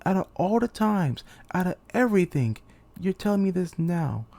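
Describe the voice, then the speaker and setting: A man playing a betrayed, despairing young military commander in a theatrical, halting anime-game monologue. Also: high voice, big pitch swings, creaky, a little mellow, dry recording, full character voice. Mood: pleading disbelief. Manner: theatrical, halting, anguished delivery. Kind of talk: dramatic monologue, anime-game fan voice reel.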